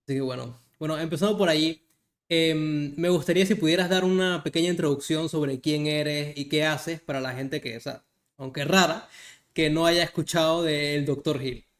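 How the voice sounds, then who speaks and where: Young male host, fairly high voice, friendly and a bit hesitant. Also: moderate pace. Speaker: interviewer. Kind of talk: casual interview chat.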